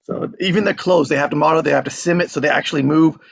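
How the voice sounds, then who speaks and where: A man's voice: fairly high pitch, fairly even intonation, fast, vocal fry. A man hosting an online script reading group, chatting casually.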